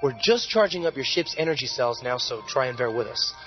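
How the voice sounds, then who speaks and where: An actor playing a friendly, slightly harried mission control operator addressing waiting space passengers. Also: male voice, medium pitch, animated, fairly quick, close to an everyday voice. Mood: apologetic, helpful. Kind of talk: in-character announcement to waiting passengers. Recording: slightly dull, music behind.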